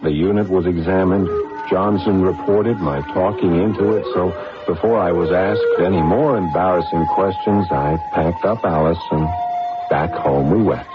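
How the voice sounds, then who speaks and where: A man's voice: low-pitched, moderate pace, muffled recording, close to an everyday voice. A man playing an anxious, hesitant computer scientist who stammers through his own story, in old-time radio drama style. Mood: wry narration. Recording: band-limited old radio recording with music.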